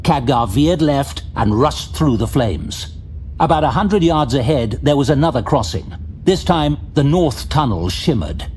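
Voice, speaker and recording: A man's voice: mid-range voice, expressive, darkish tone, slight background noise. Man narrating a fantasy audio drama. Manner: measured, dramatic storytelling. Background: faint music.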